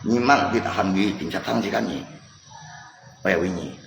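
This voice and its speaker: A man's voice: sits low, normal speed, vocal fry, fairly bright tone, a little hiss or hum. A man chatting casually on a livestream, in an agitated, argumentative way, often repeating himself. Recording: echoey reverberant space, music behind.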